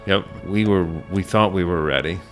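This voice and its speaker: A man telling his story as a business owner in a casual interview, often halting and repeating words as he goes. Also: deep voice, loud music behind the voice. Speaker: ice cream shop owner, interview guest.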